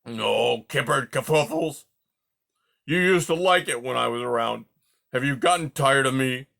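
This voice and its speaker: A man's voice: medium pitch, unhurried, vocal fry, sharp tone. A man voicing a grumpy old male porcupine who huffs, sputters and swears in silly made-up oaths. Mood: grumbling.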